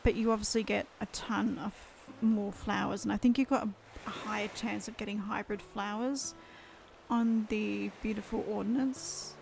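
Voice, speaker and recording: A woman's voice: medium pitch, fairly even intonation, normal speed, creaky, darkish tone, a little hiss or hum. A woman chatting casually on a game live stream, losing her voice and rambling as she thinks aloud.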